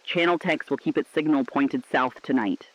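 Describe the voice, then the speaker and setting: A man's voice: mid-range voice, moderate pace, fairly bright tone, lightly acted. A man playing an earnest, defiant radio correspondent in a shelter, giving a solemn, dramatic broadcast on a dull, dry recording. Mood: somber, hopeful.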